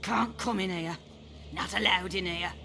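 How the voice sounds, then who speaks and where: A woman's voice: lowish voice, unhurried, vocal fry, noisy background. A woman playing a defiant little child warding off a stranger in a video game, blunt and repetitive. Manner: blunt, repetitive, chanting refusals. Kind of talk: video game cutscene acting. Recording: music behind.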